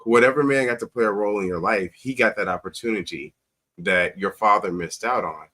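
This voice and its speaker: Deep-voiced man giving advice. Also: brisk, sharp tone. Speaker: talk show guest. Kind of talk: earnest conversational advice.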